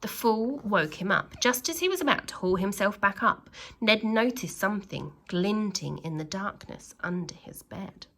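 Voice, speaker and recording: A woman reading a children's storybook aloud like a teacher, with animated, dramatic emphasis and little asides to her young listeners. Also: medium pitch, a little hiss or hum, low-quality recording. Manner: expressive, with dramatic emphasis and exclamations; pauses to address young listeners with questions.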